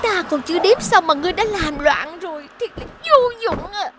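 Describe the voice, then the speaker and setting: A woman's voice: extremely high pitch, animated, moderate pace, background noise. A woman voicing a bossy, haughty villain queen. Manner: haughty, commanding.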